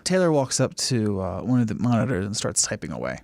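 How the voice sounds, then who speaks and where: A man's voice: lowish voice, very animated, a little fast, a little mellow, dry recording. Man narrating a game, dry close sound. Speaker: game master. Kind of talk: improvised narration for a tabletop game podcast.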